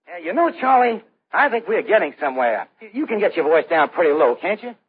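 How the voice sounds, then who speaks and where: A tinny vintage radio recording of an eager entertainer. His high, husky voice swings up and down earnestly, with a creaky edge. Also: sing-song, fairly quick, a little hiss or hum. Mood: thoughtful. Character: eager, inventive radio singer turned comedian. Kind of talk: old-time radio drama acting.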